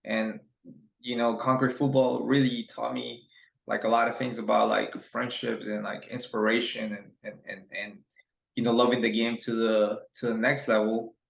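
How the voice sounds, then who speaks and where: A young man from Ecuador talks at an ordinary pitch in a relaxed, rambling way, circling back on his words often. His voice has a gravelly edge. The room around him is echoey. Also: normal speed. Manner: relaxed, rambling, many fillers and restarts. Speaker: guest. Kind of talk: casual interview chat.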